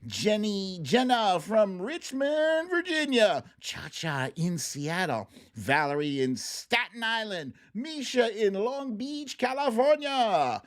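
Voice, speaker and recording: A livestream host commenting casually on clips in a playful, expressive way, with silly exclamations. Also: mid-range voice, slow pace, hardly any pauses.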